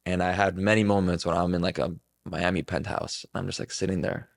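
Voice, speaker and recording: A man's voice: sits low, little pitch movement, fairly quick, creaky. A man in his twenties talking casually and reflectively as a podcast guest, earnest and rambling, with plenty of filler words. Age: 20s.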